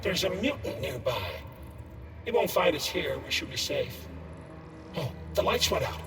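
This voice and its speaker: Game casting: a dazed talking corpse, slow eerie muttering, high voice, distant and drenched in reverb. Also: male voice, very animated, vocal fry, fairly bright tone, a little hiss or hum, full character voice. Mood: fearful, hushed. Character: dead male victim, mumbling on, dazed and hushed. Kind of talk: video game voice acting. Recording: distant, long echoing reverb, faint music.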